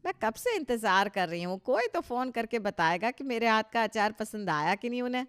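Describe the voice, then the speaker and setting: A woman's voice: a little high, sing-song, a little fast, clean tone. A woman playing a proud, touchy older mother-in-law in a cartoon story, talking emphatically and with self-satisfaction. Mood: expectant. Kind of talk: Hindi cartoon story dialogue.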